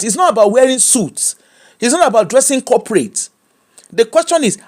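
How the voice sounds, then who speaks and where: A man with a Nigerian accent giving opinionated commentary, animated and mocking, slipping into pidgin. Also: very high-pitched. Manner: animated, switches into pidgin and mimics people.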